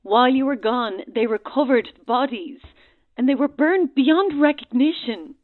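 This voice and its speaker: An actress in a horror audio drama playing a worried, insistent friend, speaking firmly and urgently through a telephone filter. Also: female voice, high voice, moderate pace. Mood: careful, grave. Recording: thin, band-limited phone line.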